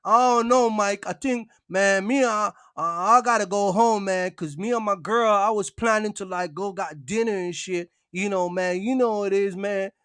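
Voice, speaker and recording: A man's voice: high-pitched, moderate pace, hardly any pauses, a bit bright, clear, resonant tone, no room sound, clean studio sound, pitched below the performer's own voice. Casting: a man as whiny male trucker dodging work by phone, drawling, mumbling, reluctant, for a vlog.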